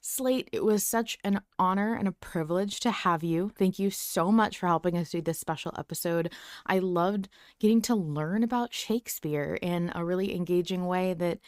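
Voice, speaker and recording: A woman's voice: lowish voice, normal speed, no room sound, studio quality. A woman co-hosting a podcast, thanking a guest earnestly. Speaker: podcast co-host. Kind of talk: sincere thank-you to a guest.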